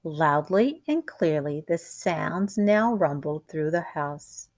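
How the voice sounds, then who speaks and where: Female storybook reader, teacherly and expressive, unhurried pace, deliberate dramatic delivery for young children. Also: sits low. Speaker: teacher reading a picture book aloud.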